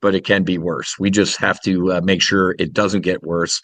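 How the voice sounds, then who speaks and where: A man's voice: low voice, level tone, moderate pace, fairly bright tone. A middle-aged man speaking as an aviation expert in an interview over a video call. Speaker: aviation expert, former pilot. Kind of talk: interview soundbite.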